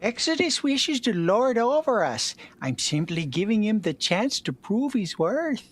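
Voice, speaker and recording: A man playing a calculating, coolly courteous imperial admiral with a measured, formal manner in a fan fiction reading. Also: high voice, sing-song, heavily acted. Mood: cool, assured.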